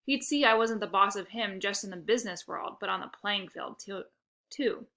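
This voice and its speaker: Bright-voiced woman reading a children's story. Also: mid-range voice, normal speed. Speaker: read-aloud narrator. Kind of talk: scripted children's storybook narration.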